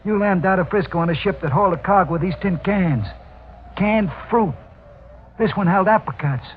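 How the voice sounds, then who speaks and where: A man's voice: sits high, moderate pace, close to an everyday voice. Man playing a tinny-sounding wry racketeer's henchman. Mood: wry, needling. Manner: wry, slangy tough-guy talk. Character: cynical streetwise racket henchman, tough and slangy. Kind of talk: 1940s radio mystery acting. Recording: tinny, noisy old 1940s radio recording.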